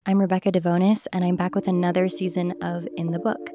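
A woman's voice: lowish voice, little pitch movement, quick pace. A woman hosting a podcast, reading scripted narration. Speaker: podcast host.

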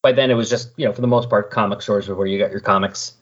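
Guy with a raspy voice just chatting away, keen, kinda muffled audio. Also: fairly low pitch, steady tone, quick pace. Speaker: podcast guest. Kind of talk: casual comic book discussion.

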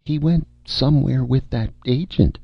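Storybook starlet, played by a male reader: placid, slow-witted woman, pitched up, vague and unbothered. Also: creaky, breathy edge, dry recording, highs cut off. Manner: vague, unruffled, dreamy. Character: vague, placid, dim-witted young female film star.

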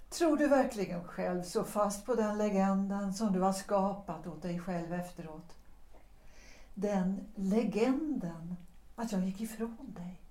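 A woman playing a calmly reproachful singer. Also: mid-range voice, lightly acted. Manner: measured, deliberate, quietly reproachful. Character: woman, a former opera singer, calmly accusing. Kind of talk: audio drama acting. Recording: roomy echo.

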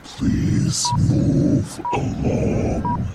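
A performer voicing a cold robotic golem that gives flat, slow commands through a heavy electronic filter. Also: very noisy. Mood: cold, commanding. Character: cold robotic golem patrolling and ordering citizens away. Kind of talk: audio drama creature voice.

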